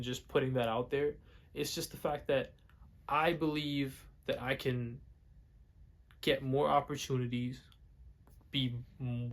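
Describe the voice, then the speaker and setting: A young man talks straight to the camera in a loose, rambling way. He stumbles, repeats words and restarts his sentences as he goes. English is not his first language, and his pitch sits in a middle range. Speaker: vlogger. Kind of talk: casual talk to camera.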